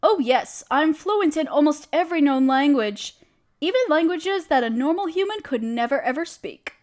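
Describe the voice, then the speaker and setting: A woman's voice: sits high, expressive, normal speed, a little mellow. A woman playing a friendly, genteel witch who chats kindly in quaint, dainty phrases, in an audio roleplay. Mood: kindly, reflective. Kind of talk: scripted audio roleplay speaking to the listener.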